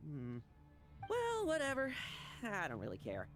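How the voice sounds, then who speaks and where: Fight-loving warrior, blunt casual tone, lively swings, faint music. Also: medium pitch, measured pace. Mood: eager. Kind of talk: game dub acting.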